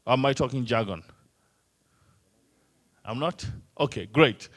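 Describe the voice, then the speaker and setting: A man's voice: medium pitch, animated, unhurried. Kenyan man quizzing a workshop room. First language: Swahili. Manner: teasing, asks the room many questions. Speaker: workshop trainer. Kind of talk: interactive training session.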